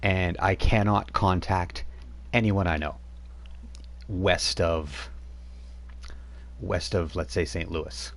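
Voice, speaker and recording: Low-voiced man running a tabletop game. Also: fairly even intonation. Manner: halting, with many fillers. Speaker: game master. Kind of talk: tabletop game narration and in-character talk.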